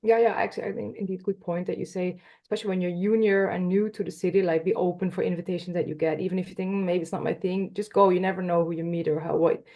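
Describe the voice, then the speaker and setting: A woman's voice: lowish voice, flat delivery, mellow. Dutch woman guest, chatty and laughing. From Netherlands. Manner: friendly, laughs often, quick restarts. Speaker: podcast guest, author. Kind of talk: casual interview talk.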